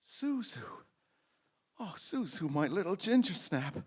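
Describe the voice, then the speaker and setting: A man's voice: high-pitched, big pitch swings, measured pace, breathy edge, full character voice. An actor playing an overjoyed small-town husband and father in a radio drama, stammering and exclaiming. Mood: joyful, tender. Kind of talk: old-time radio drama acting.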